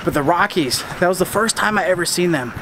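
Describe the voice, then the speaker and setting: A man's voice: high voice, animated, a little fast. Young American guy vlogging over street noise. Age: young adult. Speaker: tour videographer and vlogger. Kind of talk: casual vlog to camera. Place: walking on a town street at night. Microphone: camera at arm's length. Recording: noisy outdoor street at night.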